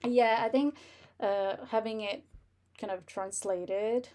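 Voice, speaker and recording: A woman's voice: medium pitch, steady tone, slow pace, mellow. Giggly woman chatting on a livestream. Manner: giggly, laughs often while talking. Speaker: livestream host. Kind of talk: casual chat.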